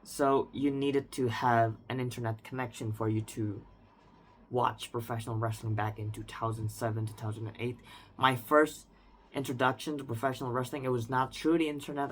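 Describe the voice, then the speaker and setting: A man's voice: mid-range voice, fairly even intonation, normal speed, slight background noise. A man in his twenties with an Indonesian accent, speaking unscripted in a reflective personal monologue, often restarting and hedging. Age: 20s. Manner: reflective, with frequent restarts and hedges like "I would say". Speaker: vlogger.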